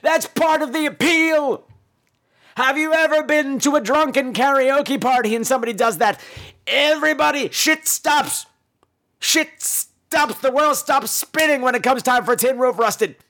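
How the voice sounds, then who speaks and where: A man's voice: very high-pitched, measured pace, pushed and bright. An American man hosting a podcast, telling comic personal stories in a campy, theatrical and bluntly profane way. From United States. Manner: theatrical, campy, exaggerated delivery. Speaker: podcast host. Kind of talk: comedic personal storytelling monologue.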